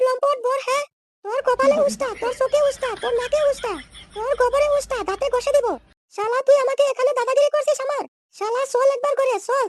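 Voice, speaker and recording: A man's voice: very high-pitched, slight background noise. A man voicing a brash, scolding, scheming young woman in a comedy cartoon. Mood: furious. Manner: scolding, threatening, slangy insults.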